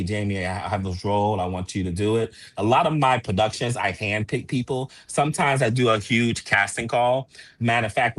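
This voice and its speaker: A man's voice: lowish voice, fairly bright tone. A man talking casually as a playwright and producer being interviewed.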